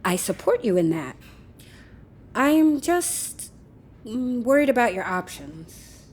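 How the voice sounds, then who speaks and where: A woman's voice: on the high side, big pitch swings, slow pace, darkish tone, breathy edge, heavily acted. A woman playing a flippant, unrepentant lover in an audio drama, with a breezy, casual, needling manner. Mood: breezy, reasoning. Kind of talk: naturalistic audio drama acting.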